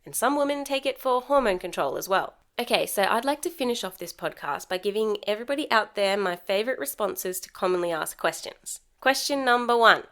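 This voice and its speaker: A woman's voice: mid-range voice, animated, a little fast, few pauses, a bit bright. A young adult woman hosting a solo podcast, telling stories in a wry, sarcastic, comedic way. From Sri Lankan heritage. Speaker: podcast host.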